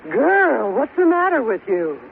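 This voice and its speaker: A fussy, plain-spoken society matron. She is very high-pitched and swoops dramatically, drawing words out with emphatic wails. The sitcom recording is old, thin and noisy, with an audience. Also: very animated, unhurried, gravelly, narrow, tinny audio, full character voice. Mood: concerned, coaxing. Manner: exclaiming, wailing, emphatic. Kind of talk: 1940s-50s radio sitcom acting.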